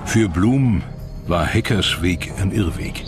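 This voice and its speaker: A man narrating a scripted history documentary in a measured, dramatic storytelling manner. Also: deep voice, lively intonation. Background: music.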